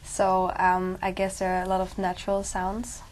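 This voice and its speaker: Chatty young woman, flat delivery. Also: medium pitch, moderate pace, slight background noise. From Switzerland. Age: young adult. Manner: chatty, thinks aloud. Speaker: exam candidate. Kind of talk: speaking exam conversation.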